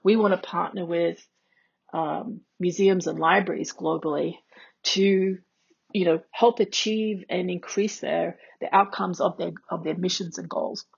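A woman speaking conversationally as an expert commentator, reflecting on a podcast interview, with a husky, creaky voice. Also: fairly low pitch, fairly even intonation, unhurried, muffled recording.

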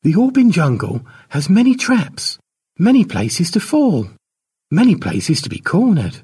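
A man voicing a stern, protective woman elder who warns a child in urgent, deliberate short phrases. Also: high voice, huge pitch swings, mellow, husky, far from the mic, voice pushed up, exaggerated voice. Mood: stern warning.

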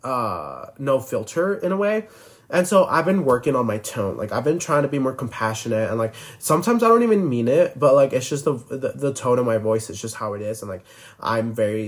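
Twenty-year-old male podcast host, rambling and filler-heavy, voice swooping up and down. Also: medium pitch. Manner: rambling, filler-heavy, restarts sentences constantly. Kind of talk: casual solo podcast chat.

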